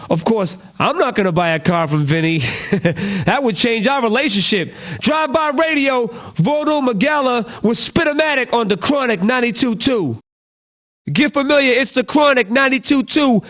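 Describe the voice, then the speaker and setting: A man's voice: extremely high pitch, a bit bright. A man playing a brash, cocky hip-hop radio DJ with punchy, slangy, playful patter. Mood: hyped, cocky.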